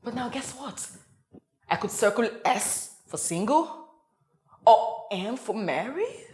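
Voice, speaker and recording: A woman's voice: fairly high pitch, sing-song, slow, darkish tone. A woman performing a dramatic comedic monologue as a frazzled young wife and mother who rambles and interrupts herself in a meltdown. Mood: weary, shaken. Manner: rambling, flustered, self-interrupting. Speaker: actor performing an audition monologue.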